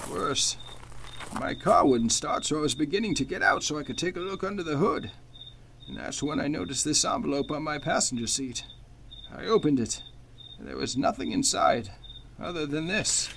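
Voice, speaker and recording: A man plays a nervous, formal judge in a vintage-style radio thriller. The voice is high for a man and creaky, swinging dramatically up and down, with audible crickets and noise behind it. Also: expressive, normal speed, fairly bright tone. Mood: shaken, ominous. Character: anxious, formal male judge marked for death. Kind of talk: old-time radio mystery acting.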